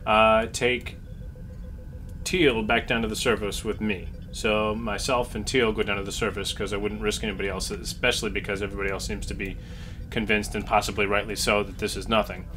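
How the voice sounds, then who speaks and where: A man's voice: on the low side, little pitch movement, fairly quick, noisy background. A man playing the captain in a tabletop game, laying out plans hesitantly with many restarts. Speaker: player voicing the ship's captain. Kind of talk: in-character planning at a game table.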